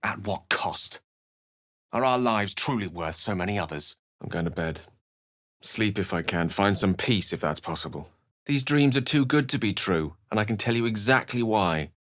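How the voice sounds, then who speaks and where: A man's voice: lowish voice, animated, moderate pace, fairly bright tone, dry recording, clean studio sound. A male actor voicing a bookish, courteous wizard for a video game, speaking in wry, brooding, articulate and literary phrases. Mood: weary, reflective, then wry, musing. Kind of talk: video game voice acting, narration and dialogue.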